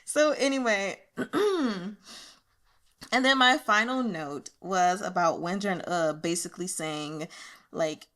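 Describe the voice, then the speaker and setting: A woman giving casual, chatty commentary as a host, with an animated, gushing, giggly and expressive manner. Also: mid-range voice, slow. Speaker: audiobook reader and host.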